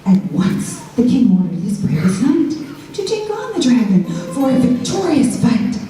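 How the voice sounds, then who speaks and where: A woman telling children a rhyming fairytale in a dramatic, theatrical, expressive style. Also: fairly high pitch, moderate pace. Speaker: children's storyteller. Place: school show before children. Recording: echoey hall, distant mic, faint music.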